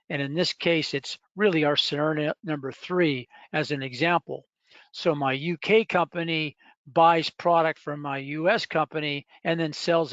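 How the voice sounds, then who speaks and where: A man presenting a software product in a live webinar, explaining step by step with halting pauses. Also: a little high, no room sound. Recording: dull, lo-fi webinar audio.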